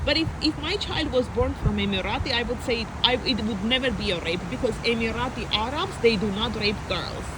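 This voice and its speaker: A woman's voice: a little high, brisk, hardly any pauses. A woman from Armenia talking in an unscripted personal monologue, emphatic and repetitive, piling up lists as she speaks. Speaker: vlogger. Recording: loud traffic noise outdoors.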